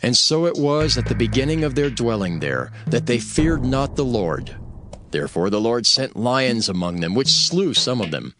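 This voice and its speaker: Male scripture narrator, animated and solemn. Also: medium pitch, normal speed, noisy background. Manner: solemn, storytelling. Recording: music bed under the voice.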